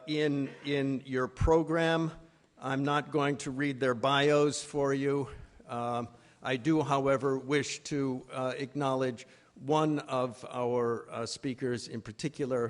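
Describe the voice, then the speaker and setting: A man speaking as an executive director, introducing a program with a formal welcome at a live event in a halting, hesitant way. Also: mid-range voice. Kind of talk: formal welcome speech at a conference. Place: live event before an audience.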